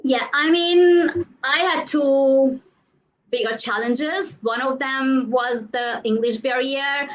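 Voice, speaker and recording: A woman's voice: sits high, slow pace, poor audio. A middle-aged woman from Hungary, speaking English with a Hungarian accent as a guest on a video call, earnest and searching for words with frequent "uh" fillers. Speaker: guest, education agent coach. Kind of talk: casual interview over video call.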